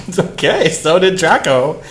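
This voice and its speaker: An animated man reads aloud and riffs on it with sarcastic amusement. His pitch swings widely while his pace stays unhurried, and the room adds noticeable echo. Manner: amused, sarcastic, often breaking into giggles. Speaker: commentary show host. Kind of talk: mocking dramatic reading with casual commentary.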